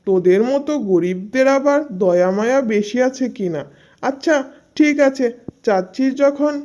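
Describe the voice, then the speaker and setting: A woman's voice: on the high side, dark tone. A woman voicing a scornful, snobbish animal neighbour in a cartoon fairy tale, dismissive and sneering. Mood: sneering, grudging.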